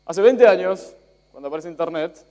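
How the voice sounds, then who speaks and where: A man's voice: sits high, moderate pace, darkish tone. A young Spanish-born man speaking with an Argentine accent, giving a chatty conference talk with fillers and self-jokes. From Spain. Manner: chatty, self-joking, many fillers and restarts.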